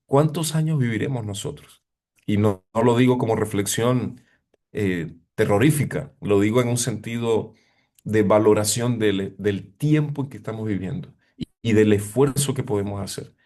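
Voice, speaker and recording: A middle-aged man preaching a reflective sermon as a pastor, pausing often with fillers. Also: on the low side, darkish tone. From abroad, living in Chile 20 years. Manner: reflective, hesitant with frequent fillers.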